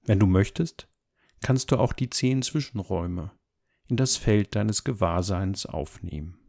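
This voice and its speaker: A man guides a meditation in a low, mellow voice with a strong creak in it. His delivery is gentle and calm, with unhurried, measured phrases that give soft instructions.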